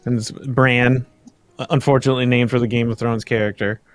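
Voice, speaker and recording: A man's voice: medium pitch, level tone, brisk, faint music behind. A young man talking casually and a little ramblingly in a group chat. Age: young adult.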